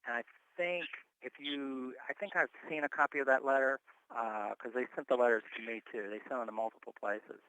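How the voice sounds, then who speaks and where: A man with a clear, smooth voice talks through an update on a telephone conference call. He explains things step by step in an informal, conversational report. The sound is close and dry, at a middling pitch. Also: fairly even intonation, brisk, pushed and bright, no echo, highs cut off. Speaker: board member reporting on tax filing. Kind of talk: informal spoken report at a meeting.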